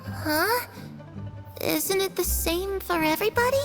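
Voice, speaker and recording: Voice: very high-pitched, unhurried, over music. A voice actor playing the lost spirit of a young girl, innocent and confused. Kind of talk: game voice acting.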